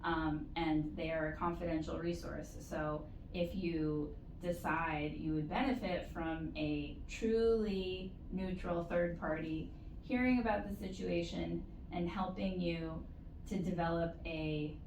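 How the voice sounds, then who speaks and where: A woman giving measured, explanatory advice as an interview guest, in a conversational way with stretched emphasis. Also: on the low side, fairly even intonation, a little mellow. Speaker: interview guest, university postdoctoral support staff. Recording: roomy echo, distant mic, faint noise.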